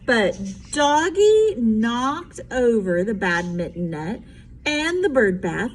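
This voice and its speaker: A woman's voice: a little high, very animated, slow pace. A woman teacher reading a picture book aloud to children, animatedly, stopping to explain things simply. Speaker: special education teacher. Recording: faint background noise.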